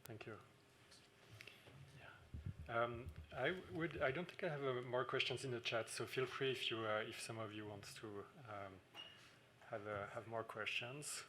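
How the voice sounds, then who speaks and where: A man's voice: medium pitch, unhurried, bright, forceful tone, a little hiss or hum. A man moderating a conference question session, hesitant and stumbling over his words.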